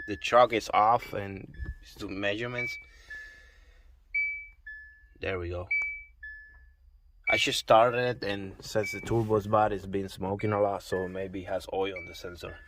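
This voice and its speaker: Puerto Rican man, low voice, halting explanation. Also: background noise. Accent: Puerto Rican Spanish. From Puerto Rico. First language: Spanish. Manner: halting, searching for words, self-correcting. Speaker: car owner showing his truck. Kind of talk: casual walkaround explanation. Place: outdoors by a pickup truck.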